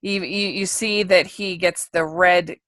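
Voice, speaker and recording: Low-voiced woman chatting about film. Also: fairly even intonation, unhurried, a bit bright. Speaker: podcast co-host reviewing animated films. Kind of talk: casual film discussion.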